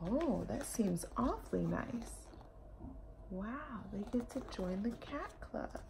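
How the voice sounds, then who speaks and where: A woman's voice: on the high side, expressive, slow, creaky, noisy background. A young woman reading a children's story aloud in a careful, teacherly way, with asides to young listeners. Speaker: storytime reader. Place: indoor room at home.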